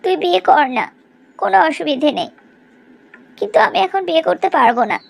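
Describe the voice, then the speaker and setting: A woman playing a gentle, heartbroken young woman in a cartoon drama, speaking softly and in a subdued way. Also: extremely high pitch, lively intonation, a little fast, gravelly, exaggerated voice. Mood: hurt, subdued. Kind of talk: Bengali cartoon drama acting.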